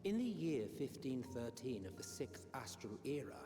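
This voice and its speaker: A man's voice: mid-range voice, very animated, lightly acted. Man acting as a solemn chronicler in echoing reverb. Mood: reflective, bitter. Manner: measured, solemn, literary. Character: solemn male chronicler recounting a bleak history. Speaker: narrator. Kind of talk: dramatic scripted narration. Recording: echoey hall reverb, music behind.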